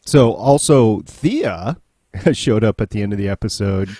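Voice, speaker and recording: A man's voice: fairly low pitch, expressive, measured pace, mellow, no room sound. A man hosting a podcast, chatting casually and jokily about television. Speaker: podcast host. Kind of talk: casual TV recap chat.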